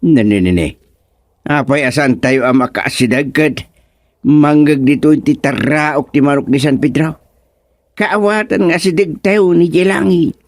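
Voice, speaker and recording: An actor playing a stubborn, giggly old father in a comedic radio drama, exclamatory and repetitive with drawn-out words. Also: fairly high pitch, darkish tone, no room sound. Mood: excited, giggly.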